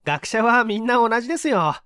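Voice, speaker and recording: A male narrator plays a polite, easily flustered young student in a storybook mystery. His voice is high for a man and lively, with a dry sound. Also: fairly quick, smooth, no room sound, heavily acted. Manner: deferential, earnest.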